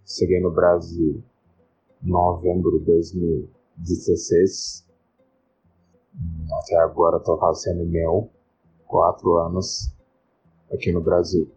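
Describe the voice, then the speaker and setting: A Senegalese man in his twenties speaking slow, simple Portuguese as a learner, telling his own story in a recorded testimony. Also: deep voice, completely flat delivery. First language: not Portuguese. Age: 20s. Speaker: interviewee. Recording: slightly dull recording under soft music.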